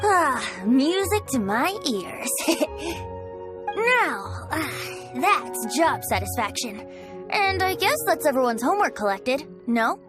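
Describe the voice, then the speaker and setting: A woman's voice: high voice, sing-song, takes it slowly, music in the background, heavily acted. A woman voicing a carefree young male bard in a video game, with a playful, grandiose way of talking. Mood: smug. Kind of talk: video game character acting.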